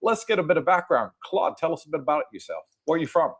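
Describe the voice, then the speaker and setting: A man hosts a relaxed livestream interview. His pitch moves in a lively, animated way while he asks friendly questions in quick back-and-forth. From United States. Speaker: host. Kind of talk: casual interview chat.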